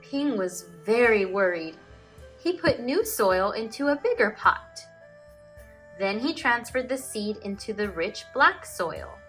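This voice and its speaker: A woman teacher presenting and reading a children's storybook aloud in an expressive, emphatic storytelling manner. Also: a little high, takes it slowly, soft background music, rough recording.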